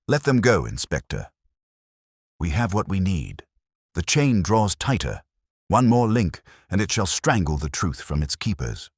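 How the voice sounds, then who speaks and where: A man voicing a cool, precise, commanding detective in a measured, deliberate audiobook performance. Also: low voice, big pitch swings, husky, no room sound, clean studio sound, full character voice. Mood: assured, deliberate.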